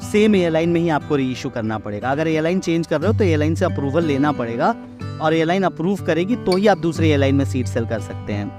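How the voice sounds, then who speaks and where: A man with an Indian accent giving a step-by-step software lesson in an explanatory way, as an instructor. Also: fairly high pitch, animated, fast, over music. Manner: explanatory, step by step, checking understanding. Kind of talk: software tutorial narration mixing Hindi and English.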